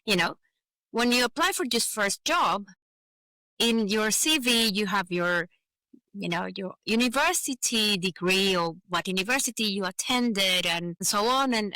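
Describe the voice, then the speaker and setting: A woman's voice: mid-range voice, sharp tone, no echo, poor audio. A woman with a Venezuelan accent, a native Spanish speaker, talking earnestly and explaining her points in a conversational interview, often adding "you know". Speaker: podcast guest, advocate for migrant professionals.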